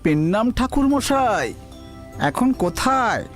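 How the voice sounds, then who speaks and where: A man's voice: high voice, sing-song, takes it slowly, fairly bright tone, soft background music, full character voice. A man playing a chatty village neighbor who talks deferentially to the priest. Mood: polite. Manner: deferential, polite.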